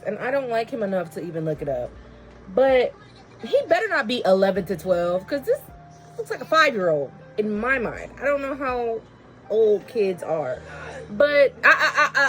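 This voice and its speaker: Animated young woman with slangy delivery. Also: medium pitch, normal speed, poor audio. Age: young adult. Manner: animated, slangy, emphatic repetition. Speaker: YouTube host reviewing an animated series. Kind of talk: casual commentary recap. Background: background music.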